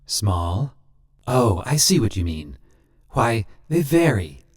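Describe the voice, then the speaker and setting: A man voicing a suave, sinister stranger who collects souls, talking smoothly and businesslike, a little pious and simpering. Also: lowish voice, very animated, takes it slowly, exaggerated voice. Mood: smooth menacing.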